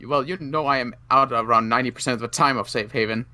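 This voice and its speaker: A man playing a wounded sniper survivor in an improvised zombie roleplay game, speaking in a halting, defensive way. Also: mid-range voice, bright, forceful tone. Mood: sore, defensive.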